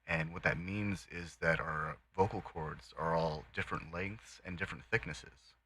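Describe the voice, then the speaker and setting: A man with a deep voice, explaining things in a measured way during casual chat. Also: steady tone, sharp tone, breathy edge. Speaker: podcast co-host.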